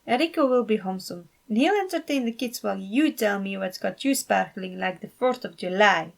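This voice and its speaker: A warm motherly sister as a woman reader plays her, affectionate and teasing. She is nosy and gently bossy, with a slightly creaky voice, in a story reading. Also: mid-range voice, lively intonation, moderate pace.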